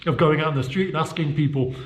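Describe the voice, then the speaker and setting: A man's voice: on the high side, fast. Rambling British man in an echoey room. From United Kingdom. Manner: rambling, many fillers and restarts. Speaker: vlogger. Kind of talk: casual walking-tour vlog. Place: his own indoor office and studio. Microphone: handheld camera mic.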